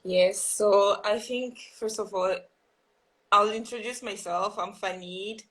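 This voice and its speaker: A woman's voice: mid-range voice, fairly even intonation, takes it slowly, a bit bright, rough recording. A young woman from Burkina Faso, a guest writer, talking earnestly and thoughtfully on a live video call, with frequent ums and restarts. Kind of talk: casual live-stream conversation.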